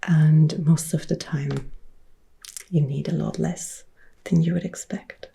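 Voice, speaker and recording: Whispering Austrian woman, close and soft. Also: low voice, fairly even intonation, slow pace, gravelly, slightly rough. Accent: Austrian German. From Upper Austria. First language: German. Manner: soft whispering, mixed with quiet speech. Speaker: ASMR channel host. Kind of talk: ASMR Q&A chat. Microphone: close-up sensitive ASMR mic.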